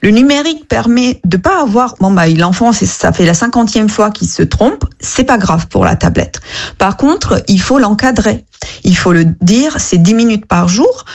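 A woman speaking French as a second language, answering a radio interviewer with earnest, halting phrases. She restarts her sentences often, her pitch sitting in a middle range. She is heard through a studio microphone. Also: lively intonation, a little mellow. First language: not French. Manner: earnest, hesitant, many restarts. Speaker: app co-founder, radio guest.

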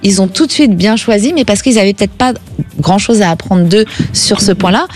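A middle-aged woman hosting a call-in radio psychic show, advising a caller gently and often adding a little "hein". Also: medium pitch, a little fast, faint music behind. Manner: reassuring, gently advising, frequent tag "hein".

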